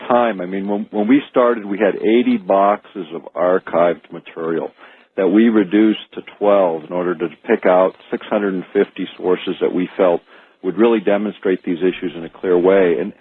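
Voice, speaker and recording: An American man speaking as an author guest in a radio interview, explaining earnestly and haltingly, repeating words as he goes. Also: low-pitched, steady tone, breathy edge, no room sound. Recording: narrow phone-like archive radio audio.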